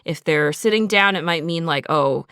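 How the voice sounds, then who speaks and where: Low-pitched, clear-voiced woman explaining her craft. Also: normal speed, fairly bright tone, no echo, clean studio sound. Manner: conversational, explains methodically with examples. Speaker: podcast host and audio drama sound designer. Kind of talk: behind-the-scenes podcast discussion, explanatory.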